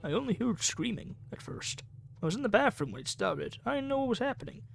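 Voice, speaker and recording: A man reading the part of an injured, shaken student survivor in a visual novel, speaking haltingly. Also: sits high, big pitch swings, vocal fry, soft background music, pitched above the performer's own voice, exaggerated voice. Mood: shaken, hesitant. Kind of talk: visual novel dialogue read aloud.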